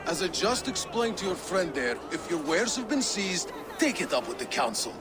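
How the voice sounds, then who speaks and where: Brusque high-pitched male dockmaster. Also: sing-song, moderate pace. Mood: guarded, sly. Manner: curt, dismissive. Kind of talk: video game voice acting. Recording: noisy outdoor harbor crowd ambience.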